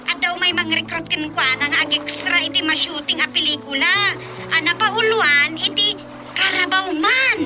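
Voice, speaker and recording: Very high-pitched eager young newsbearer. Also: female voice, normal speed. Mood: excited. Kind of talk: comedic radio drama acting. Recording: loud music over voice.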